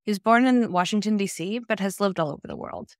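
A woman's voice: medium pitch, animated, fast, no room sound, clean studio sound. A woman in her thirties hosting an online event, giving a semi-scripted introduction. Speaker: host introducing a speaker. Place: indoors, video call.

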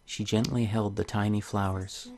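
A man's voice: on the low side, fairly even intonation, moderate pace, darkish tone. A man hosting a video game playthrough, commenting on the game and reading its story text aloud. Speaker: let's play host.

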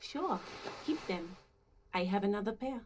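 A woman's voice: medium pitch, animated, a little slow, noisy background, natural voice. An actress voicing a reserved, politely hesitant gardener woman in a video game, speaking in an understated way. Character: reserved working-class female gardener, uneasy, politely hesitant. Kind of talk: video game dialogue acting.

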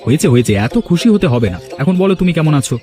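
Man acting protective young village son, lively intonation, very rapid, questioning and reassuring, fairy-tale cartoon. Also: on the high side, natural voice. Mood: teasing, cheerful. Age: young adult. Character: devoted young son, home from studies, protective. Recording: music behind the voice.

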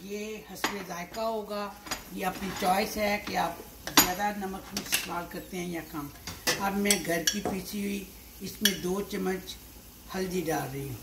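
A woman's voice: mid-range voice, steady tone, a little slow, heavy background noise. A woman in her sixties with a Pakistani accent, explaining cooking steps as she works, unscripted, often repeating herself. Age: 60s. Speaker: home cook, cooking show host. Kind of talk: step-by-step cooking instruction while working. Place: home kitchen at the stove, indoors. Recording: echoey kitchen, loud sizzle and pot clatter. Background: sizzling, stirring, clinking pots and dishes.

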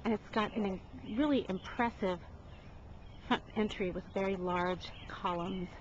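A woman narrating a casual walking tour of houses, admiring and chatty, with frequent ums and uhs. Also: medium pitch. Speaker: real estate agent giving a home tour. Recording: dull lo-fi audio with clear background noise.

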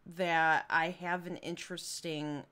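A woman's voice: fairly low pitch, flat delivery, slow, pushed and bright, smooth. A young woman from Chicago with a Midwestern accent, chatting casually while streaming a game, rambling with fillers and giggles. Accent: Midwestern American. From Chicago area. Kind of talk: casual live game commentary.

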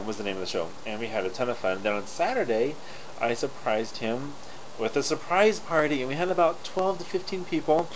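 A young adult man talks casually, as if to friends on a personal video blog. His pitch sits in the middle range for a man. He is recorded in a room at home on a webcam mic, with faint noise underneath. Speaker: video blogger. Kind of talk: casual personal vlog chat. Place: small indoor room at home. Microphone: built-in webcam mic at close range. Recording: noisy lo-fi webcam audio.

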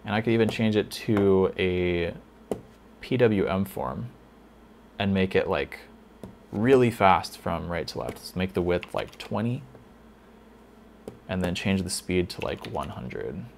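A man teaching a lighting console in a casual tutorial, thinking aloud with fillers, a little hoarse. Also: deep voice, animated, unhurried, pauses often, a little hiss or hum. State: slightly hoarse, losing his voice. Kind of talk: casual screen-recorded tutorial.

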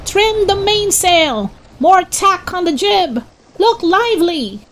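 A woman as a very high-pitched, commanding young sailor. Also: big pitch swings, slow, full character voice. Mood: urgent command. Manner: shouting orders. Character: bold young sailor taking command in a storm. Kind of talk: storybook character voice.

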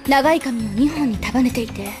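Actress as serious, earnest anime schoolgirl agent; high register, formal delivery over clear background music. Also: moderate pace, natural voice. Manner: earnest, polite, grave. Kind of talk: anime audio drama acting.

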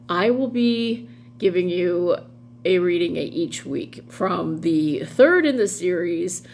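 A woman's voice: fairly low pitch, animated, slow, darkish tone. Middle aged woman rambling on a vlog, kinda wry, takes her time, noticeable hum behind her. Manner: wry, amused, meandering with asides. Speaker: vlog host doing dramatic readings. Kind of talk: casual rambling commentary. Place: small room at home, indoors. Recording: clearly audible background hum noise.

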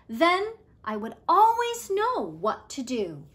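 Woman voicing an earnest, high-pitched storybook boy. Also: huge pitch swings, slow pace, fairly bright tone, full character voice. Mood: earnest, wondering. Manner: earnest, emphatic.

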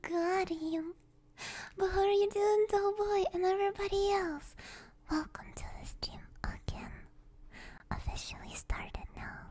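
A soft-spoken, playful woman chatting as an ASMR streamer, close on a stereo microphone and sometimes slipping into whispers. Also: extremely high pitch, fairly even intonation, measured pace. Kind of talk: ASMR livestream chat, drifting into whispers. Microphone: close binaural stereo microphone.

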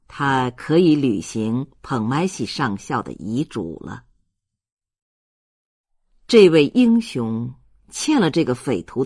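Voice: medium pitch, slightly rough, no echo, clean studio sound. An audiobook narrator reading a novel in a scripted storytelling style.

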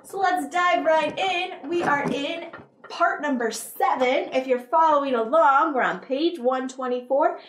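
A woman's voice: sits high, unhurried, noisy background. A woman in her twenties hosting children's story time, speaking in an encouraging, smiling, sing-song way. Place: small indoor set with a couch. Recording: roomy sound, distant microphone.

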